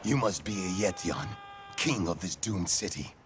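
An actor playing a fierce, loyal Greek warrior prince, huge and burly, in a video game cutscene. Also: male voice, low-pitched, animated, a little slow, noisy background. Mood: stern.

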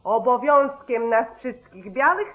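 An actress playing a strident, insistent petition campaigner in a 1940s radio play. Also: female voice, fairly high pitch, animated, measured pace. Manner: insistent, preachy. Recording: thin, phone-like old radio recording.

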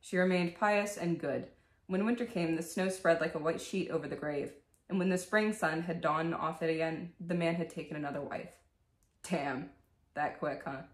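A young woman with a fairly low voice speaks as a video host in a bedroom. She is candid and informal, moving between casual chat and reading aloud. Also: normal speed, reverberant. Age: 20s. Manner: candid, swears freely, breaks off into asides. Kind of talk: casual vlog talk and storybook reading. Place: small bedroom, indoors.

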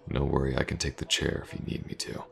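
A man acts out a tough mercenary for hire in a fantasy audio roleplay. His voice is extremely deep and heavily gravelled. He murmurs intimately and unhurriedly, pausing often, with a teasing streak. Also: monotone, a bit bright, heavily acted. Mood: calm, assured. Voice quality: gravelly, breathy low murmur.

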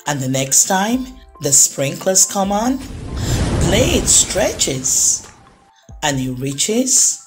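A woman reading a children's story aloud, with dramatic phrasing and drawn-out pauses. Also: on the low side, sing-song, music in the background. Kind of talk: expressive read-aloud storytelling for young children.

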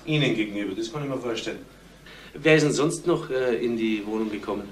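A man's voice: mid-range voice, fast, distant mic, close to an everyday voice. Actor as courteous male interviewer, roomy sound. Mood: courteous questioning. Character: courteous, slightly wry male police interviewer. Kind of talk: German radio crime drama acting. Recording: roomy, off-mic, faint noise.

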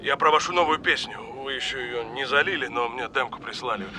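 An actor playing an ailing, regretful rock musician father, halting and urgent, in an audio drama. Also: medium pitch, bright, forceful tone. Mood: nervous, earnest.

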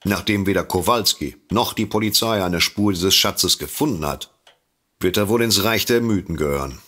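An actor playing a sober, sceptical detective in a comedy mystery audio drama. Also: male voice, low voice, normal speed, a bit bright. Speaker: amateur detective.

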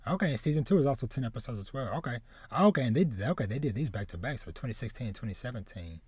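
A man in his thirties hosting a casual anime podcast, speaking haltingly and often repeating words as he goes. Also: medium pitch, expressive, darkish tone, husky, no echo. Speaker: podcast host. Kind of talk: casual chat about anime. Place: small room with bookshelves, indoors, on a video call.

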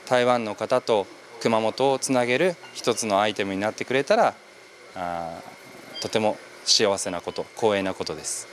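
A young man answers an interview at a quick pace, careful and polite. He speaks into a handheld mic, with faint coffee shop ambience behind him. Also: fairly low pitch, a little hiss or hum. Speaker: barista. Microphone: handheld reporter mic.

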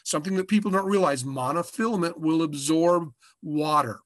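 A man in his sixties with an American accent, giving an informal lesson as an instructor, halting and often repeating his words. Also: a little high, a bit bright, no echo, studio quality. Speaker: fishing instructor. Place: small indoor room, curtain backdrop. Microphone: webcam video call.

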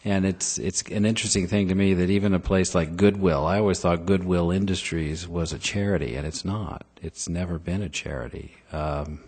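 A man chairing a city council meeting in a council chamber, speaking in a measured, halting way. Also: deep voice, steady tone, a little mellow, husky.

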